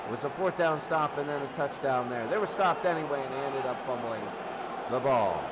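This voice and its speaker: A man's voice: mid-range voice, fairly quick. A man doing live play-by-play football commentary and recaps in short, clipped, repetitive phrases. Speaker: play-by-play announcer. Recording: noisy, crowd roaring behind the voice. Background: loud stadium crowd noise.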